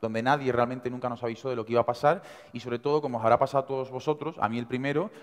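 A man in his twenties from Seville with an Andalusian accent, giving an energetic, conversational motivational talk to students in a university auditorium. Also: medium pitch, racing. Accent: Andalusian Spanish. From Seville, Spain. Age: 20s. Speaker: invited speaker. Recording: reverberant hall.

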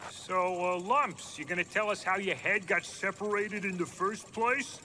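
High-voiced, sarcastic cartoon snail master. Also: male voice, a little slow, low-quality recording. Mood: dry, testy. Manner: dry, exasperated, sarcastic. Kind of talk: children's cartoon voice acting. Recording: loud music behind the voice.

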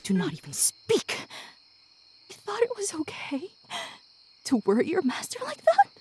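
A woman playing a sadistic, obsessive demon woman in an ASMR roleplay, gloating and possessive, with menacing breathy whispers that can break into shouts. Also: very high voice, wildly swooping pitch, a little slow, very noisy, heavily acted. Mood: furious.

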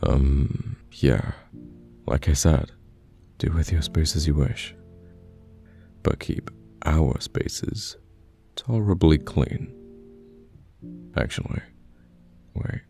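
Super deep gravelly boyfriend, a guy acting it, asmr pillow talk, gentle n reassuring, soft music behind. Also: animated, takes it slowly, pauses often, full character voice. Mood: earnest, reassuring. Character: caring, patient boyfriend reassuring a new live-in partner. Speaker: voice actor in an audio roleplay. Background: soft piano or guitar music.

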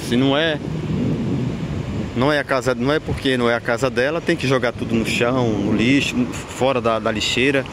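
A man's voice: medium pitch, steady tone, fairly quick. A man with a Brazilian accent giving unscripted walking vlog commentary, repeating himself in an admonishing way with frequent tag questions. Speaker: vlogger. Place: outdoors on an open windy beach. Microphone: handheld camera mic, behind the camera. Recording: loud wind noise on mic. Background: wind and outdoor ambience.

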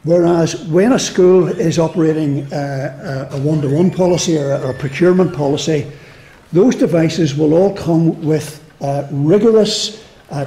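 A Northern Irish man speaking on a conference panel in a slightly higher, mellow voice. He talks in a measured way and often restarts his phrases. The sound carries an echoey hall. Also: slight background noise. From Northern Ireland. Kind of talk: panel discussion answer. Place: lecture hall.

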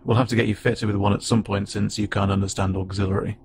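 A man voicing a friendly, curious, slightly awkward alien spacefarer in a conversational audio roleplay, speaking haltingly and wryly. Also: fairly low pitch, little pitch movement, noisy background, natural voice. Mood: puzzled. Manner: casual, halting, gently wry. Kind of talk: conversational audio roleplay acting to an unheard listener.

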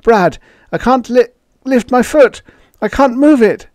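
Man acting a strained, high-pitched frightened space explorer. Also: normal speed, darkish tone, no echo, natural voice. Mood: terrified. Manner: strained, panting, emphatic. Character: male Mars explorer, frightened, weary and queasy.

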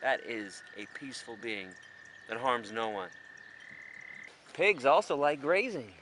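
Emphatic American man outdoors with clear background noise. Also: mid-range voice, sing-song, a little slow, fairly bright tone. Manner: emphatic, repetitive, full of rhetorical questions. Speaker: animal rights advocate presenting a farm sanctuary. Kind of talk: informal on-camera commentary. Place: open farm field outdoors. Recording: noisy outdoor farm location sound.

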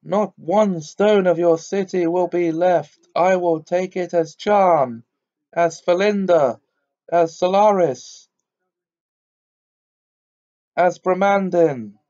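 A man's voice: sits high, slow pace, pauses often, warm tone, highs cut off, pitched above the performer's own voice. Man putting on a ringing, furious witch queen. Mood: scornful. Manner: ringing, commanding threats.

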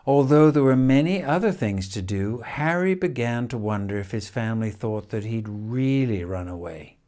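An older man reads a children's picture book expressively, with measured phrasing and dramatic pauses. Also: medium pitch, lively intonation, mellow. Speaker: storyteller reading a picture book. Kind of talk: expressive children's storybook reading. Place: indoors, room in a house with stone wall.

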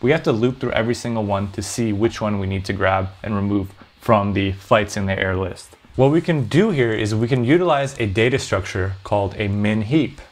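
A man's voice: fairly low pitch, a little fast, hardly any pauses. A man hosting a programming tutorial, explaining step by step in a conversational way.

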